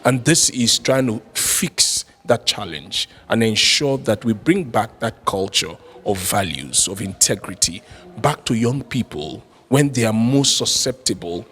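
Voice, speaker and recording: A man's voice: on the low side, expressive, unhurried, a bit bright, breathy edge. A man with a Nigerian accent giving a formal, articulate interview answer. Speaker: interviewed commentator.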